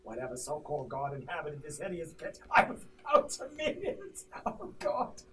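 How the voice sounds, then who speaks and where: A man's voice: a little high, huge pitch swings, moderate pace, sharp tone, distant mic, slight background noise. A man reading dramatic lines in a recording session, stumbling and repeating words between takes. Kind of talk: dramatic line reads and flubbed takes.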